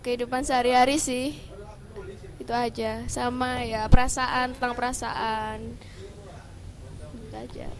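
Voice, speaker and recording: A woman answering questions in a casual interview. Her voice sits fairly high and stays very even. She is soft-spoken and shy, often halting to find her words. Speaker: band vocalist, interview guest.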